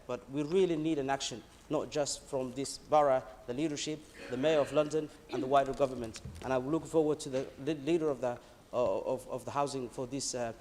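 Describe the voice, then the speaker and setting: A male councillor giving a speech in a council debate. He talks in a halting, earnest way with frequent fillers and restarts. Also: on the high side, a little mellow. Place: council chamber. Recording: long hall reverb, faint background noise.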